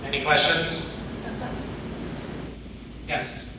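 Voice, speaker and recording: Hoarse man presenting in an echoey room. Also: fairly high pitch, little pitch movement, extremely slow. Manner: explains step by step, many restarts. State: hoarse from a cold. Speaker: tech meetup presenter. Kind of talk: technical talk answering questions. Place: meeting room with audience. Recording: echoey room, distant mic, background noise.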